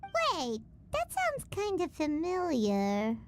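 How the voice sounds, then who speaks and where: A woman voicing a tiny, chirpy, childlike fairy companion in a video game, squeaky, perky and curious. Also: wildly swooping pitch, slow pace, a little hiss or hum, heavily acted. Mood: curious, bubbly. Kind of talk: scripted video game dialogue.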